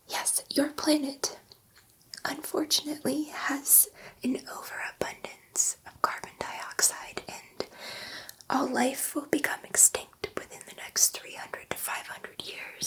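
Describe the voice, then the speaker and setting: Whispering woman as a gentle alien scientist. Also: sits high, rough recording. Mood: earnest explaining. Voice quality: soft breathy whisper. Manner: hesitant, rambling, reassuring, easily sidetracked. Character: gentle, excitable, slightly nervous female alien scientist. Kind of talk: whispered ASMR sci-fi roleplay.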